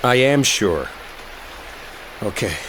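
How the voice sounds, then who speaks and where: A man's voice: fairly low pitch, big pitch swings, takes it slowly, noisy background. A man playing an exasperated, sarcastic, stubborn guy in a dramatic roleplay audio, talking impatiently with rain behind him. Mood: insistent, impatient. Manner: impatient, sardonic, conversational, with mild swearing. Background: rain storm ambience.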